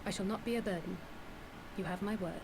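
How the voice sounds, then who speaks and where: Actress, determined young female adventurer, medium pitch, firm formal delivery, fantasy game, noisy background. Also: fast talker, close to an everyday voice. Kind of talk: fantasy game cutscene acting. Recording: quiet game audio under loud noise.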